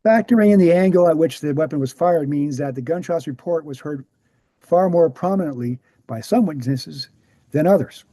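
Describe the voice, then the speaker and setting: Guy reading as earnest weary researcher lecturing, mellow highish voice, really dry sound. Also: expressive, moderate pace, dry recording. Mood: explanatory. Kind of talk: screenplay table read.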